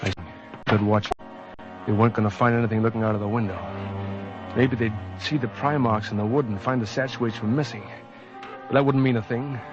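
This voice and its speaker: Man acting as weary hardboiled cop narrator, terse, brooding, dull old radio sound, music clearly behind. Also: medium pitch, fairly even intonation, fairly quick, pauses often, lightly acted. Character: world-weary male cop narrating his own guilty downfall. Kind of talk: hardboiled first-person radio drama narration.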